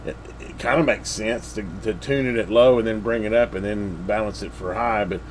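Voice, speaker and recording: A man's voice: lowish voice, steady tone, a little fast, a little hiss or hum. A man casually reviewing a radio at his workbench, rambling with lots of fillers and restarts.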